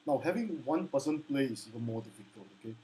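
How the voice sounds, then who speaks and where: A man's voice: expressive, fast, dark tone, a little hiss or hum. A man teaching ukulele, speaking hesitantly with many restarts and "okay?" check-ins. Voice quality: low baritone voice. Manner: apologetic and earnest. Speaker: ukulele instructor. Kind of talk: casual spoken lesson with sung demos. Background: ukulele playing.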